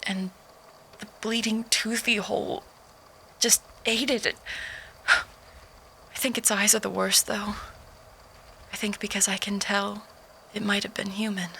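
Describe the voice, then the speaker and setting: A woman playing a frightened survivor in an audio drama, haltingly recording a log of horrors, at times near a whisper. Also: medium pitch, pushed and bright, a little hiss or hum, poor audio.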